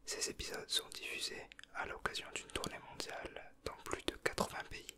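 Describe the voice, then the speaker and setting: A man whispering softly in a casual ASMR style, with a breathy, hushed voice. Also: moderate pace. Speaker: ASMR video creator. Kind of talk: whispered ASMR, chatting and reading aloud from a booklet.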